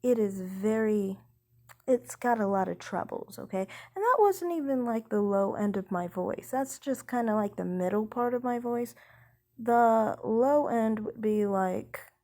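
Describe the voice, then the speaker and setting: A woman's voice: fairly high pitch, expressive, a little mellow. A young woman who sings, talking casually about her voice type in a rambling, hesitant way with many fillers. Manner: speaks slowly. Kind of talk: casual vlog chat.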